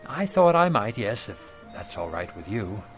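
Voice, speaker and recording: An actor playing an eager, obliging, down-on-his-luck street pitchman of about sixty, with folksy sales patter, in a radio drama. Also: sing-song, normal speed, faint music behind. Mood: deferential. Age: older.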